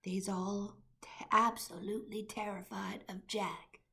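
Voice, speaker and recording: A woman voicing a big friendly male giant who whispers in hushed tones with mangled grammar. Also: mid-range voice, flat delivery, a little slow, vocal fry. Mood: explaining.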